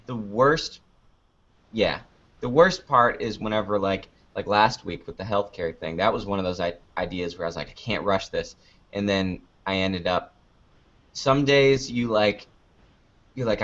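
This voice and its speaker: A man's voice: sits low, fairly bright tone, lo-fi sound. A man being interviewed about the videos he makes, chatting casually in a rambling, jokey, self-deprecating way. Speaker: interview guest, online video maker. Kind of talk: casual unscripted interview chat.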